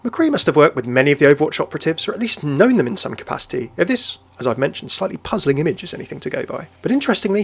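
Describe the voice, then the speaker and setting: A man's voice: fairly high pitch, husky. A man hosting a gaming video, narrating game lore in a chatty, upbeat way. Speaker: video host.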